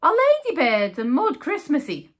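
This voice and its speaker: A woman voicing a fretful, squeaky male mouse who exclaims in drawn-out, indignant words for a children's storybook. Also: huge pitch swings. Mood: startled, doubtful.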